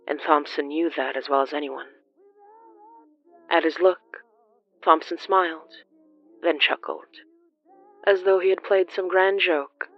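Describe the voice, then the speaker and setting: A narrator reading scripted horror fiction in a dramatic, deliberate storytelling manner. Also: medium pitch, highs cut off.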